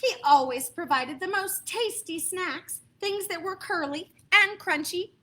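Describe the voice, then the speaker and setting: A woman with an American accent reads a storybook to young children in a high, bright voice. She takes her time and leans into key words with dramatic emphasis, adding little asides to her listeners. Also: a little slow, slight background noise. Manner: expressive, dramatic emphasis, asks listeners questions. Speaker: storyteller reading picture books to children.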